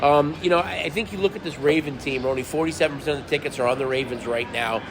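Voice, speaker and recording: A man's voice: on the high side, quick pace, background noise. Man losing his voice, leading a betting chat. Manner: leads the segments, reads out betting numbers. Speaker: sports betting podcast co-host. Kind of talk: casual sports betting talk.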